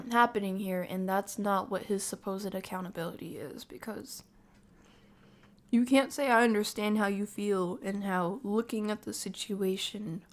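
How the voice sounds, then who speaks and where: A woman's voice: medium pitch, unhurried. A woman livestream host giving casual live reaction commentary, thinking aloud in unfinished, circling sentences.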